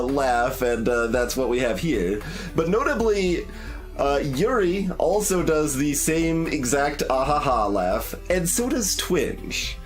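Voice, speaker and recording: A man streaming a game playthrough, talking casually to viewers in a hesitant, rambling way as he thinks aloud. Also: a little high.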